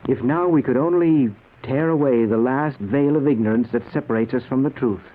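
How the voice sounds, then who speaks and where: A man's voice: mid-range voice, normal speed, narrow, tinny audio, lightly acted. An actor playing an obsessed, nervously intense middle-aged man, speaking earnestly and dramatically in a 1940s style radio drama. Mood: eager. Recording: thin old radio recording.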